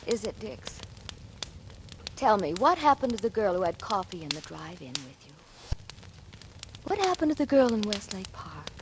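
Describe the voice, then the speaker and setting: A woman's voice: mid-range voice, very animated, moderate pace, pauses often. An American actress in a 1940s radio drama playing a steadfast, accusing wife who confronts a dangerous man. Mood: firm, accusing. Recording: noisy vintage 1940s radio recording.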